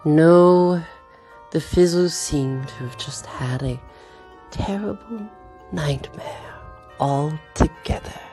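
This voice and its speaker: A woman's voice: low-pitched, takes it slowly, mellow. A woman game master narrating a tabletop roleplay in a theatrical, suspenseful manner full of rhetorical flourish. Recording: music behind the voice. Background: background music.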